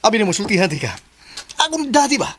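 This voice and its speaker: A man playing an excitable, anxious son in a Filipino radio drama, with a Cebuano accent. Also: high-pitched, quick pace, breathy edge, exaggerated voice. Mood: agitated.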